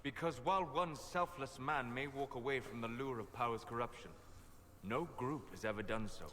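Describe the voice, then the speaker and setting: An actor playing a scholarly male sage in a video game, speaking formally and deliberately with grave, cautionary wisdom. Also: mid-range voice, lightly acted. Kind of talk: scripted video game dialogue. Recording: faint noise and ambience behind.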